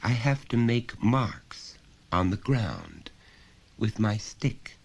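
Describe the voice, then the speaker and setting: A man voicing a small, earnest, stubborn little boy in a storybook reading, softly and simply spoken. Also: lowish voice, huge pitch swings, slow, vocal fry, pitched above the performer's own voice, exaggerated voice.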